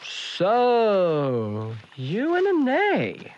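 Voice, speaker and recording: A man's voice: high-pitched, wildly swooping pitch, very slow, darkish tone, dull audio, full character voice. A man playing a cocky, taunting young man in an audio drama, with a smug, teasing manner. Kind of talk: naturalistic audio drama acting.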